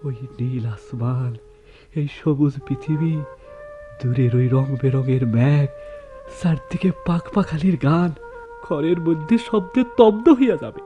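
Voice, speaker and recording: An actor playing a shy, dreamy village poet in love in a radio drama, speaking hesitantly and lyrically with a rural Bangladeshi accent. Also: male voice, fairly high pitch, unhurried, warm tone, muffled recording, soft background music, close to an everyday voice.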